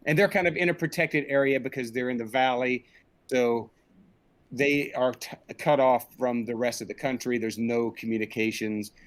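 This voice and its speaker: A man's voice: medium pitch, normal speed. A middle-aged man talking conversationally as an interview guest on a group video call from a room indoors. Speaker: interviewed director and audio drama creator.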